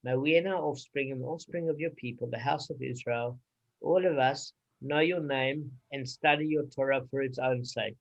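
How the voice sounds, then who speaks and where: A man leading a study group, reciting blessings and reading a translation slowly and deliberately. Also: mid-range voice, steady tone, vocal fry, muffled recording.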